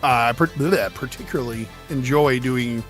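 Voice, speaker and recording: A man's voice: mid-range voice, unhurried. A man co-hosting a livestream in casual chat, playful and self-mocking, often acting out bits of dialogue. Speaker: livestream co-host. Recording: music playing underneath. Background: music.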